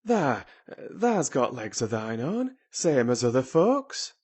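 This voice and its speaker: A man's voice: a little high, very animated, measured pace, warm tone, breathy edge, pitched above the performer's own voice, exaggerated voice. A man voicing a sturdy Yorkshire country boy, cheerful and stoutly encouraging, in a storybook reading.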